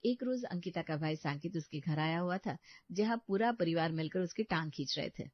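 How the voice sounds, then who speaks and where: A woman reads scripted storybook narration in a steady, even manner. The audio is dull and lo-fi, and it sounds dry and close. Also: fairly low pitch, fairly quick, dry recording.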